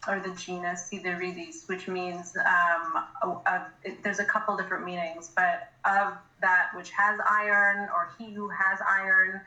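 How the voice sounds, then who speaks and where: A woman from Greece, a herbalist guest, explains things in an interview, pausing often on um. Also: fairly low pitch, level tone, bright, forceful tone. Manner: explains with many ums. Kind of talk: casual interview chat. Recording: roomy echo.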